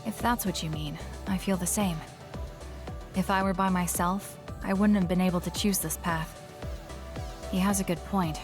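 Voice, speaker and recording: A woman voicing a composed, blunt young female soldier in an anime game dub, measured and reserved. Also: lowish voice, steady tone, natural voice. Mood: calm, consoling. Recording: music playing behind the voice. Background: game background music.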